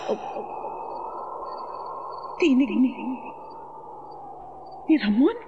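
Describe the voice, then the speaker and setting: A woman's voice: high-pitched, very animated, muffled recording, background noise, exaggerated voice. A woman playing a tender, pleading, grieving mother in an emotional, melodramatic radio drama style. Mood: tearful, loving. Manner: emotional, slow, drawn-out.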